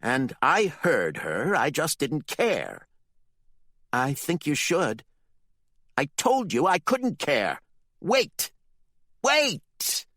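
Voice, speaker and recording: A man's voice: fairly high pitch, huge pitch swings, takes it slowly, fairly bright tone, no room sound, clean studio sound, pitched above the performer's own voice, exaggerated voice. A man voicing a cruel, scheming father who calls himself Reverend, sneering and threatening. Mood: contemptuous.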